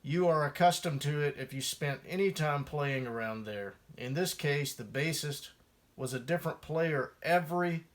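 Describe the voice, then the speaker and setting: Guy reading a chapter of his own music memoir, voice a bit on the high side, wry storyteller. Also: expressive, moderate pace. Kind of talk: first-person memoir narration, read aloud.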